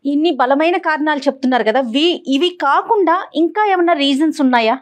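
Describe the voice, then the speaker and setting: A woman's voice: sits high, fast talker. A woman hosting a talk show, asking questions and offering advice. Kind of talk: questioning and gently advising.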